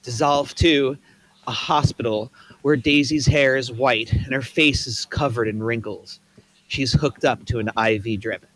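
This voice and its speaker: A man reading the stage directions aloud at a table read in a plain, even way. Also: mid-range voice, a little slow, no echo, poor audio.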